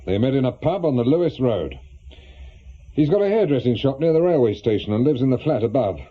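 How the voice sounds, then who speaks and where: A seasoned English private investigator, cautious and matter-of-fact. His mid-pitched voice swings widely up and down between hesitant pauses. The radio play recording is slightly muffled. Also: sing-song, husky. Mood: businesslike. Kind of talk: naturalistic British radio drama dialogue.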